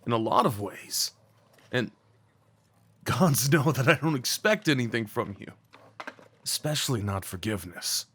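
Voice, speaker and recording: Male actor as remorseful young man, husky voice, mid pitch, halting confiding delivery, emotionally earnest, intimate romantic roleplay drama. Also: expressive, fairly bright tone. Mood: tender, grateful. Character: remorseful young man, former delinquent, tenderly confessing old love.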